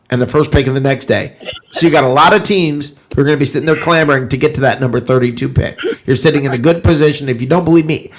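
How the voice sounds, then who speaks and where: A man hosting a casual football talk show, speaking in an opinionated way and driving his points home with repetition. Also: a little high. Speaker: sports talk show host.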